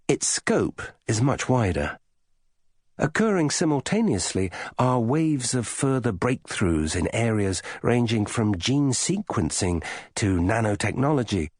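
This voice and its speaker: A man reads non-fiction as a scripted audiobook narrator. His voice sits fairly low with a husky grain. The delivery is measured and formal, each phrase carefully set out, in a very dry, close recording. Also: no echo.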